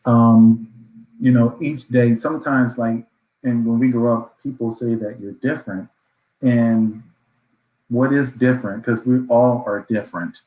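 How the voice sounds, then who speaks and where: A man's voice: medium pitch, steady tone, measured pace, long pauses, mellow. A man speaking as a panelist in a reflective discussion, earnest, affirming and motivational. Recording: roomy echo.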